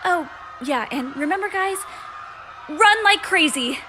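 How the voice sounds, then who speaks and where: A woman voicing a take-charge teenage superhero girl in an audio drama, commanding and energetic. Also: high voice, measured pace, music in the background. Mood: urgent, commanding. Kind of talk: fan audio drama acting.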